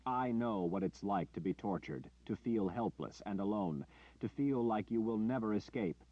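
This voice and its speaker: A man doing a commanding warrior leader, pressing his point with hard emphasis in a lowish voice. It's a dry, dull-sounding recording. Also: expressive, normal speed, dry recording. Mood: urgent. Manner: forceful, pleading, emphatic. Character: commanding male warrior leader, intense and urgent.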